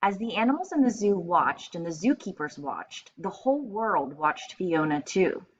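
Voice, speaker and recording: A woman reading aloud to children as a teacher, expressive and explanatory, in a slightly muffled recording with faint noise. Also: lowish voice, normal speed.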